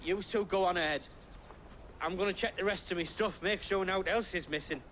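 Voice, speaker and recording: An actor playing an anxious, bumbling trader in a video game, with a Northern English accent and a flustered, stammering way of talking. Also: male voice, high voice, fairly quick, a bit bright, slight background noise. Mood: earnest. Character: anxious, bumbling, soft-hearted young trader.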